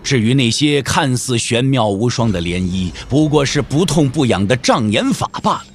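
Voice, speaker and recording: A man's voice: medium pitch, sing-song, normal speed, slight background noise. A man narrating a wuxia audio drama as a scripted storyteller, with theatrical, suspenseful delivery. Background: background music.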